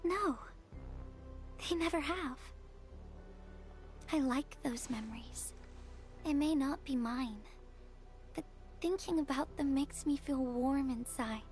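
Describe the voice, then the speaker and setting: A woman playing a gentle, wistful young courier in a video game, speaking softly and breathily with a tender, reflective manner. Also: high voice. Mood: warm, earnest. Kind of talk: video game story dialogue.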